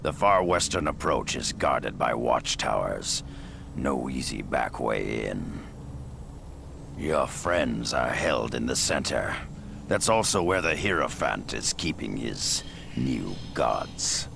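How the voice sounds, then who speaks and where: Grim beast warrior, extremely deep growl, slow deliberate delivery, proud, commanding, video game fantasy. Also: male voice, lively intonation, gravelly, background noise, full character voice. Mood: grim, measured. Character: grim, vengeful beast-warrior rebel leader, proud and cynical. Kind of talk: dramatic video game acting.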